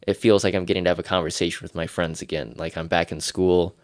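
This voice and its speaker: A man's voice: low-pitched, flat delivery, quick pace, a little mellow, no echo, studio quality. A man hosting a solo podcast, congested from his sinuses, rambling and joking at himself. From Irish. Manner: rambling, self-deprecating, jokey. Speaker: podcast host.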